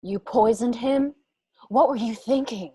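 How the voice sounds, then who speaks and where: A woman's voice: a little high, unhurried, warm tone, breathy edge, dry recording. A woman playing a guarded, fierce frontier woman in a Western radio play, with blunt, rustic speech. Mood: shocked, angry. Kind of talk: online radio play acting.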